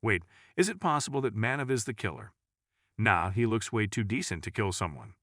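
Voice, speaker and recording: Man as anxious heroine, nervous woman, animated pitch, crime audio story, dry recording. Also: brisk, no echo.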